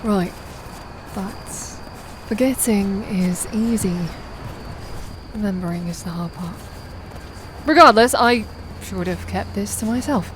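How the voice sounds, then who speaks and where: A woman acting a young, sardonic and guarded daughter in a naturalistic audio drama, with dry, deadpan teasing. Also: medium pitch, slow, long pauses, close to an everyday voice, rough recording. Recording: noticeable background noise and ambience.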